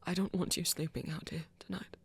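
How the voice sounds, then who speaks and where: A woman playing a flirty, tender vampire in an intimate ASMR romance, speaking gently and haltingly in a breathy half-whisper. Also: fairly low pitch, fairly even intonation. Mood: nervous, adoring. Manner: halting, gentle, careful phrasing. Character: flirty, tender vampire shyly confessing love to a hunter.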